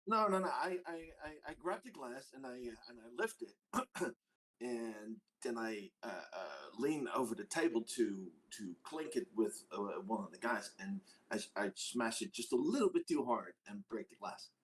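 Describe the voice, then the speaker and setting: A man playing a tabletop game, casually describing his actions and haltingly repeating words as he goes. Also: mid-range voice, sing-song, fairly bright tone, husky, rough recording.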